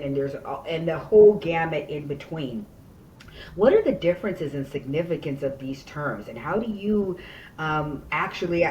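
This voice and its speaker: A woman's voice: low-pitched, highs cut off. A woman hosting a podcast interview, talking earnestly and conversationally as she shares personal stories and asks questions. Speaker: podcast host. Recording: distant mic, faint background noise.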